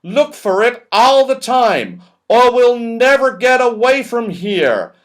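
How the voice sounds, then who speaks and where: Man doing an eager round-faced storybook fellow, high-pitched, loud, exclamatory. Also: sing-song, slow pace, heavily acted. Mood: urgent shouting. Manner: shouting, exclamatory.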